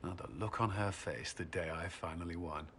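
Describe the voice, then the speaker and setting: An actor playing an earnest, dutiful military commander in a video game, reserved but friendly, with formal, courteous speech. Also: male voice, deep voice, a little fast, fairly bright tone, a little hiss or hum. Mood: wistful, relaxed. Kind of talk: video game cutscene acting.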